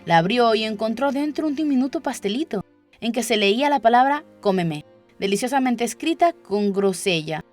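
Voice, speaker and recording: A woman's voice: on the high side, lively intonation, brisk. Woman narrating a story over music. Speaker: audiobook narrator. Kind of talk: scripted children's story narration. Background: background music.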